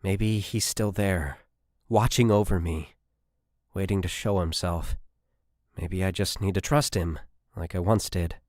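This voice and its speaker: An earnest woman scientist attached to an army unit, acted out by a male narrator, pitched low. She has a faithful streak and an excitable edge, in a dry, close audiobook recording. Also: measured pace, pauses often, dry recording. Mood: wistful.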